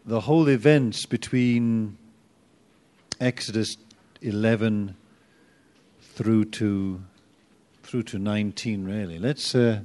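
Slow-speaking man teaching a Bible class. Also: fairly low pitch, fairly even intonation, darkish tone. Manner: chatty and self-deprecating, loses his notes, rises to emphatic bursts.

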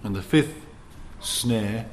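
A man preaching in a teaching sermon, speaking in deliberate, emphatic short phrases with pauses between them. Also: lowish voice, slight background noise. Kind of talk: sermon teaching with scripture and anecdotes.

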